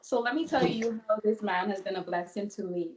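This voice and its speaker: A woman from the Bahamas, medium-pitched, shares a testimony in a chatty way full of "you know" and "like". Her voice sits in the middle of the range, with a little faint noise behind. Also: brisk. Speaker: guest giving a testimony. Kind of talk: casual testimony.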